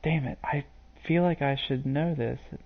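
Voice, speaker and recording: A man's voice: mid-range voice, moderate pace, slightly rough, phone-quality sound. A man streaming a video game, chatting to viewers in a rambling, hesitant way full of fillers. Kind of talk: live gameplay commentary.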